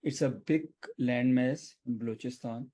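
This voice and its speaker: A man's voice: mid-range voice, unhurried, warm tone. A man, speaking as a guest in an interview discussion. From Pakistan.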